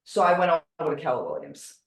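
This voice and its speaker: A woman's voice: low voice, huge pitch swings, fast, a little hiss or hum. An older woman in her sixties speaking casually and bluntly to a group, repeating her points for emphasis. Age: older, 60s. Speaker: real estate agent. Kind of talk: casual self-introduction to a group. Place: indoor group meeting room. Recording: echoey room, distant microphone.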